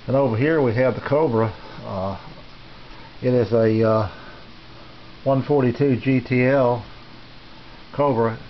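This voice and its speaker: A middle-aged or older man giving a casual, unscripted tour as a hobbyist, speaking in a halting, unhurried, plain way. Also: medium pitch. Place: small home radio shack, indoors. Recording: dull lo-fi camera audio, faint noise.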